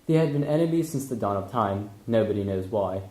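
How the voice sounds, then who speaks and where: Mellow male storyteller in echoey room. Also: lowish voice, expressive, fairly quick. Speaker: narrator. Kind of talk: comic scripted storybook narration with slang.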